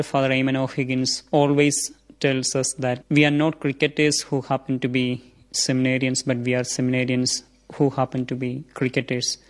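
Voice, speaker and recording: Young Indian man, seminarian, formal earnest answers, monotone mid voice. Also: mid-range voice. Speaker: seminarian interviewee. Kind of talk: interview answers.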